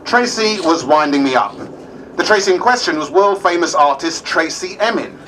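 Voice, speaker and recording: A man as bright, wry diary narrator. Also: a little high, lively intonation, normal speed, slight background noise, poor audio.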